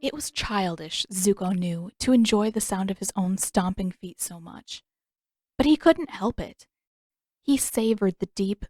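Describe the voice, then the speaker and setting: A woman's voice: mid-range voice, darkish tone, no room sound. Woman narrating fiction in a dry recording. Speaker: podfic narrator and author. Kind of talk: scripted fiction narration, expressive. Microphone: close microphone, dry room.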